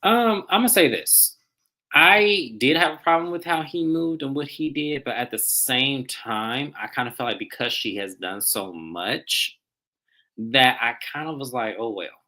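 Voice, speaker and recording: A man's voice: medium pitch, expressive, unhurried, bright, forceful tone. A man co-hosting a casual recap chat, laid-back and conversational with slangy phrasing. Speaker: co-host.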